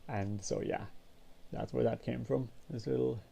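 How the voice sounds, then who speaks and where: A man's voice: mid-range voice, moderate pace, gravelly, breathy edge, dull audio. A man, congested and sniffly, rambling casually in a vlog. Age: 20s. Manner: rambling, relaxed, casual swearing. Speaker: vlogger. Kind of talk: casual chat.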